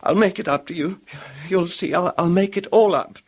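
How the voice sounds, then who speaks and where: An actor playing an anxious, devoted, pleading husband in a 1970s radio horror drama, speaking hesitantly and stammering. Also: male voice, sits high, moderate pace. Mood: pleading, contrite. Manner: hesitant, stammering, self-blaming. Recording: noisy old 1970s radio recording.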